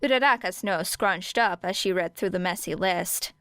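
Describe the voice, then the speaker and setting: A woman's voice: lowish voice, moderate pace, noisy background. A woman reading scripted story narration as an audiobook narrator. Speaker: audiobook narrator of fan fiction.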